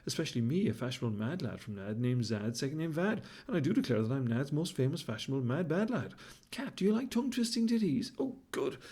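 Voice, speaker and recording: A man's voice: mid-range voice, sing-song, quick pace, mellow, husky. A man voicing a flamboyant, fashion-mad male baboon who talks in pompous, theatrical rhymes. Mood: rapid rhyming. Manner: pompous, sing-song rhyming, fussy and dramatic. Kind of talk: storybook character voice.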